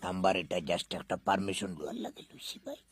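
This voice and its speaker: A man's voice: deep voice, steady tone, fast, breathy edge, no room sound. A man making a comic prank phone call, rambling and repeating himself. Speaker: prank caller.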